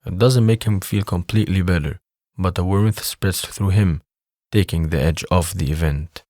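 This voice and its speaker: A man's voice: low-pitched, normal speed, darkish tone, dry recording, clean studio sound. A man reading scripted narration for an audio drama as its narrator.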